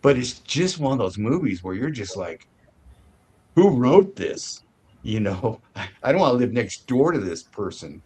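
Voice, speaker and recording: A man giving a casual movie review as a show host, haltingly searching for his words. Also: mid-range voice, sing-song, darkish tone, husky.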